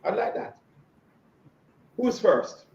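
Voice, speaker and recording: A man's voice: slow pace, darkish tone, lo-fi sound. A male host interviewing over a video call, enthusiastic but hesitant with many ums. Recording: echoey room, faint noise.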